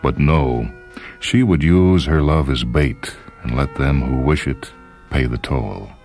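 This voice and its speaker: Very deep-voiced man reading a story. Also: darkish tone. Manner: measured, dramatic storytelling. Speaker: short story reader and radio host. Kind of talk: literary scripted narration. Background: background music.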